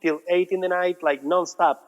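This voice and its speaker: A man in his thirties from Argentina with an Argentine Spanish accent, chatting casually as an interview guest, relaxed and repeating his words. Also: high voice, moderate pace. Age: 30s. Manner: relaxed, repeats words, non-native phrasing. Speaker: guest, muralist. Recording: dull audio with long reverb.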